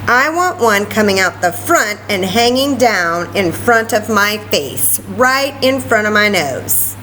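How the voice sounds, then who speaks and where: A woman's voice: medium pitch, animated, slow pace, bright, forceful tone, slight background noise, poor audio. A woman voicing a stubborn, self-assured little schoolgirl from a storybook, in an emphatic, defiant way. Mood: insistent.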